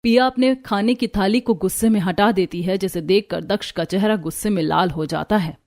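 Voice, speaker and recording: A woman's voice: medium pitch, normal speed, darkish tone, dry recording, clean studio sound. A woman reading scripted narration for a cartoon family story.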